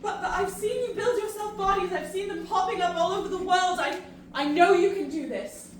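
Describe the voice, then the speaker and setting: A woman playing an earnest, disillusioned human pleading in an audio drama, halting and emphatic in her delivery. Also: extremely high pitch. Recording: very echoey, distant, noisy ambience.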